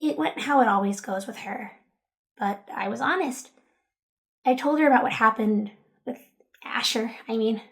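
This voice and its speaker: Woman playing high-voiced, earnest teenage girl. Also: moderate pace, pauses often, close to an everyday voice. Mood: subdued, honest. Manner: hesitant, earnest, stammering when flustered. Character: Jude, sheltered church girl turned defiant, tender teenager. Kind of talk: audio drama dialogue.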